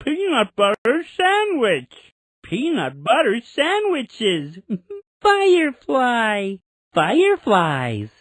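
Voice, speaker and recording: Very slow, expressive cartoon game face. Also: female voice, a little high, dry recording, clean studio sound, full character voice. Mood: playful. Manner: expressive, singsong, simple encouraging delivery. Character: cheerful childlike talking cartoon face hosting a counting game. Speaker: children's game host. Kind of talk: slow, playful preschool game prompts.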